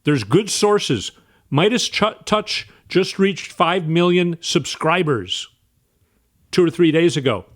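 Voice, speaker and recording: A man hosting casual political commentary, talking in an animated, exasperated and emphatic way. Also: fairly high pitch, slow.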